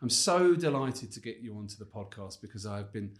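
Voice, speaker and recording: A man's voice: on the low side, animated, a little fast. A man hosting a podcast interview, enthusiastic and effusive, often hesitating and restarting his sentences. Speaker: podcast host. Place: studio in Johannesburg.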